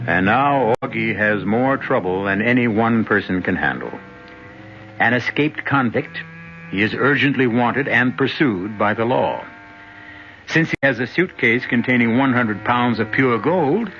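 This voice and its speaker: A man narrating an old-time radio mystery as its host, wry and deliberate, posing questions like a storyteller. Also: mid-range voice, animated, a little hiss or hum. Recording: muffled radio recording with music behind. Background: music.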